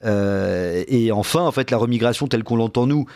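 A man hosting a discussion, measured and halting as he searches for his words. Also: medium pitch, a little mellow, no room sound, studio quality. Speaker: discussion host. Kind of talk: moderating a panel discussion.

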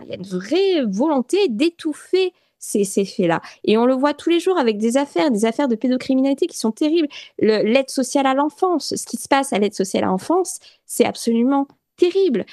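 A woman from France, speaking as a radio interview guest in an earnest, halting way with many restarts and fillers. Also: sits high, expressive, mellow, no echo, studio quality. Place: radio studio.

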